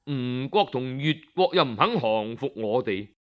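Man acting a grave, low-toned court minister. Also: expressive, dull audio, close to an everyday voice. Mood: cautious, earnest. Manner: solemn, measured, imploring. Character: grave, earnest male court minister pleading with his king.

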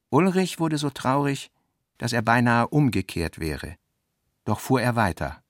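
A man reading scripted literary narration for a radio play in a measured, deliberate manner. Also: fairly low pitch, vocal fry, no echo, clean studio sound.

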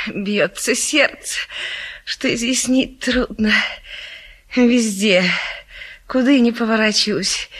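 An actress playing a coy, naive, fluttery bride-to-be in her twenties, with drawn-out, sighing theatrical delivery. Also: medium pitch, fairly bright tone, husky. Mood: flustered, lovestruck. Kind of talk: theatrical stage comedy acting.

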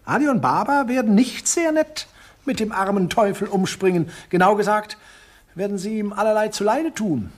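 Ein Schauspieler spielt in einem deutschen Hörspiel einen glatten, sadistischen Schurken, der spöttisch und genüsslich spricht. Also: male voice, high voice, moderate pace. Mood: mocking. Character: suave sadistic villain, middle-aged man, mocking. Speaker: villain Sir Bogomil. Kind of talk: German radio drama acting.

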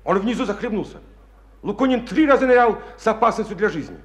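High-voiced, urgent young cadet. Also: male voice, lively intonation, fast. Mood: urgent reporting. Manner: earnest, urgent military reporting. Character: young male military cadet, earnest, loyally pleading for a friend. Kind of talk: Russian radio drama acting.

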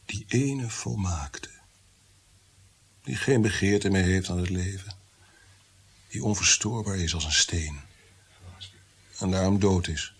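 An actor in a radio play playing a tormented, bitter, God-fearing prince-composer, brooding and theatrical, sometimes sinking to whispers. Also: male voice, deep voice, slow, pauses often, slightly rough, exaggerated voice. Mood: bitter, fervent.

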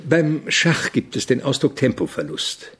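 An actor playing an opinionated, irritable avant-garde composer in a German radio drama, speaking in a didactic, deliberate way with a gravelly, husky voice. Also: male voice, mid-range voice, sing-song, fairly bright tone, heavily acted. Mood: irritated, lecturing.

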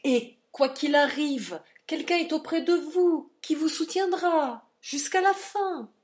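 A woman reading aloud and voicing an old male doctor who lectures in a forceful, emphatic, scornful way. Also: mid-range voice, animated, a little slow, lightly acted. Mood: commanding.

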